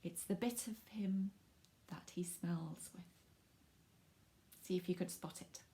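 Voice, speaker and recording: A woman reading her picture book aloud for children in an expressive, emphatic way, with asides to the young listeners. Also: lowish voice, slow pace. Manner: addresses young listeners directly. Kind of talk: children's storybook read-aloud with asides to viewers.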